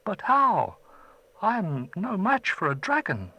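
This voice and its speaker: A man's voice: high voice, lively intonation, slow, slight background noise, voice pushed up, rough recording. A man voicing a small, brave boy who is anxious but plucky in a storybook. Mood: eager.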